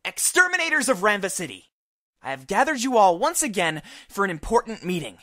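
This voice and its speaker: A woman's voice: mid-range voice, big pitch swings, fairly quick, a bit bright, no room sound, studio quality. A woman voicing a pompous, demanding male leader of an exterminator squad, with formal, theatrical speech in a visual novel. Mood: stern, grand.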